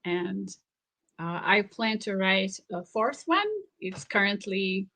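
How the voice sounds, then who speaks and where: A woman author whose first language is Slovak, answering interview questions thoughtfully, with pauses, restarts and frequent laughs. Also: medium pitch, pushed and bright. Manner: thoughtful, halting, laughs often. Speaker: author and researcher guest. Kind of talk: interview conversation.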